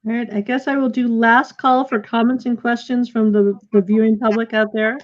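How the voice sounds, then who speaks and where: A woman casually moderating a live online session as host, speaking informally and a little haltingly over a lo-fi video call. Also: fairly high pitch, level tone. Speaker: host of a virtual theater question-and-answer session. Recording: muffled, lo-fi video call audio.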